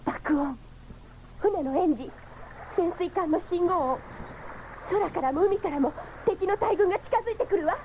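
Very high-voiced young cyborg woman. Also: moderate pace, pauses often. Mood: alarmed. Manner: dramatic, feminine phrasing. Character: alert young female cyborg scout. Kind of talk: 1970s anime-style radio drama acting. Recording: dull, noisy 1979 radio recording.

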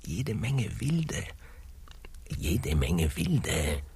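An actor in a satirical radio play, playing a restless, frustrated young explorer who pleads and insists. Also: male voice, low voice, very animated, drawn-out words, a little mellow, slightly rough, heavily acted. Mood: hushed, insistent. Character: restless, frustrated young Columbus as dreamer and schemer.

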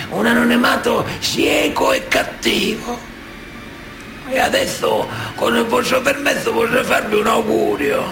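Music plays behind a roomy, echoing radio-play monologue. an old Sicilian mafia boss, very gravelly and piously aggrieved, declaiming his own innocence with theatrical self-pity. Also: very high voice, exaggerated voice. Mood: pious, defiant. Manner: declamatory, sanctimonious, self-pitying.

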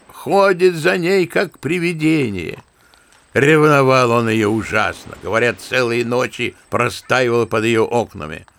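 An old retired general in a radio play, spinning a story with scornful, lively asides. His voice sits at a middle pitch with a gravelly, creaky edge. The storytelling is theatrical yet conversational, as if speaking to a young relative. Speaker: actor. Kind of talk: audio drama acting.

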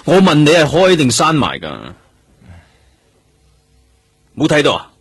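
A man's voice: fairly high pitch, wildly swooping pitch, measured pace, a bit bright, breathy edge, full character voice. A man with a Hong Kong accent playing a brusque police detective in a radio drama, questioning curtly and insistently. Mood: insistent, probing. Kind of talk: Cantonese radio drama acting.

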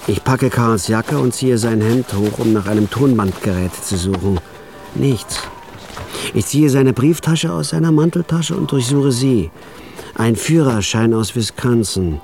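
A man's voice: mid-range voice, lively intonation, a little slow, gravelly, a little mellow, slight background noise. An actor playing a cool, hard-boiled hitman who narrates in the first person in a radio drama. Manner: dry, cool, sardonic.

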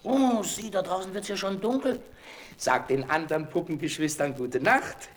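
An actor playing a kindly, lonely old puppet maker, talking fondly and theatrically in a children's radio play. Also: male voice, high voice, normal speed, close to an everyday voice. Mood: tender, cheerful. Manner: exclaiming, talks fondly to his puppets.

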